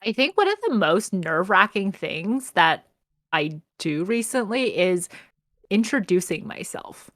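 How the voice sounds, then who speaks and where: A young woman talks casually as a podcast co-host. Her voice sits at an average pitch for a woman. The sound is dry, with very little room in it. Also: sing-song, measured pace, fairly bright tone, no room sound. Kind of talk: casual chat.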